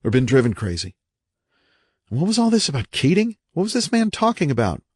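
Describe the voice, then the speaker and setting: A man's voice: on the low side, animated, moderate pace, darkish tone, husky, no room sound, pitched above the performer's own voice. Man playing an earnest, uneasy husband, dry sound. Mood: alarmed. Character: apologetic, bewildered husband and father.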